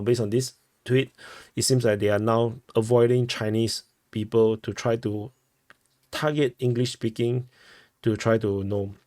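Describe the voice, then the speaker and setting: A man with a Singaporean accent giving informal news commentary as a host, halting and often rephrasing himself. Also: medium pitch, darkish tone, dry recording, clean studio sound. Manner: halting, repeats and rephrases phrases.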